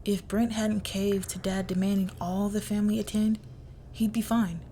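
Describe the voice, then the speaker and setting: A woman playing a crime boss's cold, menacing young daughter in an intimate audio roleplay, speaking in a hushed, deliberate near-whisper. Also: mid-range voice, little pitch movement, a little hiss or hum, lightly acted. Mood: coldly resentful. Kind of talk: intimate scripted audio roleplay, dramatic monologue.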